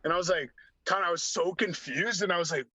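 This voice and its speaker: A man, a podcast guest, telling animated stories with a slightly raspy voice, acting out what people said and filling his talk with "like". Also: high-pitched, fast talker, no room sound. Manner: acts out dialogue, heavy use of "like". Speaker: podcast guest, former hockey enforcer. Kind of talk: animated storytelling. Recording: dull lo-fi sound.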